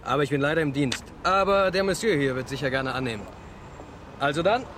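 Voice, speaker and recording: A man's voice: a little high, animated, a little fast, close to an everyday voice. An actor playing an officious policeman in a radio play, curt and drily sardonic. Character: dry, officious French policeman in a street dispute. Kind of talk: 1980s German radio play acting. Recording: outdoor traffic noise behind.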